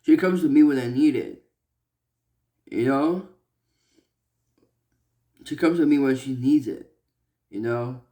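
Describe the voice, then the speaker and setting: A young man from Malaysia speaks slowly in a personal vlog. He talks earnestly, stopping and restarting often, padding his thoughts with fillers. His voice sits at an average pitch. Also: mellow. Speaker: vlogger.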